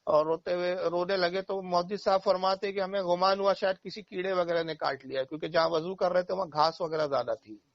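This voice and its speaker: Male cleric, high voice, emphatic explanatory storytelling, repeated key phrases, dull phone call recording. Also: steady tone, brisk, hardly any pauses, no echo. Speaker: Islamic cleric.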